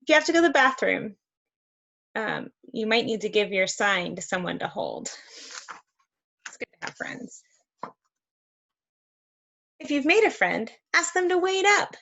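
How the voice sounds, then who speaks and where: A woman reading a picture book aloud to young children, expressive and encouraging, with conversational asides. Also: on the high side, measured pace, gravelly, pauses often. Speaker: read-aloud host for children.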